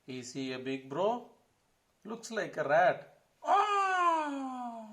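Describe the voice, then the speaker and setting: A dramatic, doubtful monkey from a children's puppet show, played by a man. The voice is high and swoops widely, drawing words out very slowly between loud exclamations. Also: animated, heavily acted. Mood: incredulous. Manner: exclamatory, questioning.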